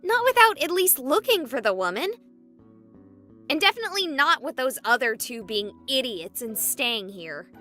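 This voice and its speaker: High, bright, plucky young woman streamer. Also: normal speed, exaggerated voice. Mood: stubborn, jumpy. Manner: sarcastic, emphatic, excitable. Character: plucky, stubborn, sarcastic young female streamer. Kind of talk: visual novel voice acting. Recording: music behind the voice.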